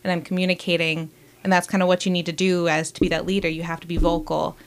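A woman talking casually as a guest in an interview, bubbly and loud-voiced, often breaking into laughter. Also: lowish voice, flat delivery, quick pace. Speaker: roller derby skater, guest.

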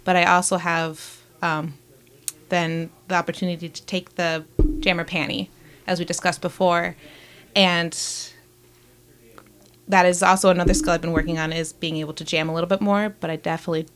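A woman talking casually as a guest in an interview, bubbly and loud-voiced, often breaking into laughter. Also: lowish voice, flat delivery, normal speed. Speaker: roller derby skater, guest.

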